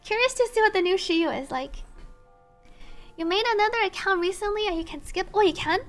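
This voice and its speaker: A woman game streamer chatting casually with viewers and reading story text aloud in a playful, expressive, teasing way. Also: very high-pitched, brisk, soft background music.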